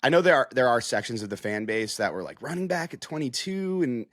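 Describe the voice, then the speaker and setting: A man's voice: mid-range voice, very animated, brisk, fairly bright tone, no echo, studio quality. An American man in a casual sports analysis chat as a reporter, conversational and peppering his points with "like" and "you know". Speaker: sports reporter, podcast guest.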